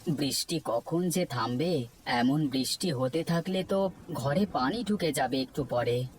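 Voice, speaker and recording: A man's voice: a little high, a little slow, slight background noise, lightly acted. A man with a Bangladeshi accent playing a worried, humble family man in a cartoon, speaking plainly and earnestly. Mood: concerned. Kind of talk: Bengali cartoon story dialogue. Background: background music.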